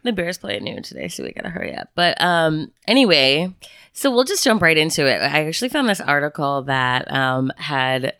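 A woman co-hosting a podcast in casual chat, her low voice bright and crisp. She swings up and down as she tells a story, laughing and swearing as she goes. The recording is close and dry. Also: lively intonation, normal speed, dry recording. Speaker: podcast co-host.

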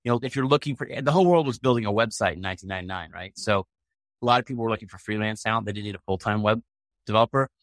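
A man's voice: on the low side, very fast, fairly bright tone, dry recording. A middle-aged American man from Maine telling his story casually as an interview guest, in a blunt, colloquial way. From Maine, United States. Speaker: interview guest, tech entrepreneur.

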